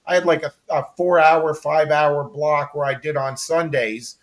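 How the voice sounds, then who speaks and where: Middle-aged American male host, slightly high voice, casual and affirming conversational delivery. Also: fairly even intonation, measured pace. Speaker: interview host.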